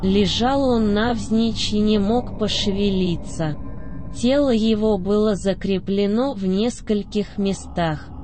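Slow woman narrator over prominent music. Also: medium pitch. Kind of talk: scripted dramatic narration.

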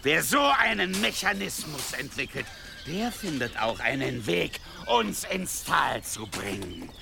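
Gravelly, wildly swinging cruel warlord. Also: male voice, fairly high pitch, very animated, music in the background, full character voice. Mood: cold, scheming. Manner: menacing, theatrical, drawn-out, swinging from hushed to shouting. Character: cruel, volatile medieval warlord leading armed men. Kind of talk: German audio drama acting.

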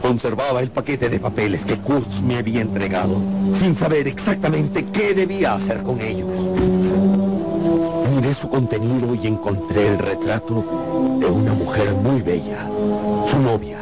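An actor playing a weary, troubled riverboat captain, telling his journey gravely and theatrically as a radio drama narrator. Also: medium pitch, moderate pace, over loud music, natural voice.

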